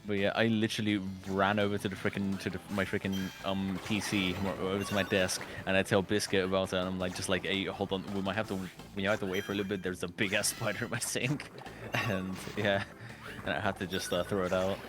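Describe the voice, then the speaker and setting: A man's voice: sits low, little pitch movement, a little fast. A British man streaming a video game, chatting loosely, rambling and swearing freely. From Britain. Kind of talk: casual gaming chat. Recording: game music playing behind.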